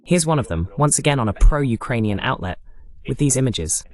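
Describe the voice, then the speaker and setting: Man commentating with a very lively pitch. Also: brisk. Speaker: host of a political commentary broadcast. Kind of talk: live news commentary on war footage.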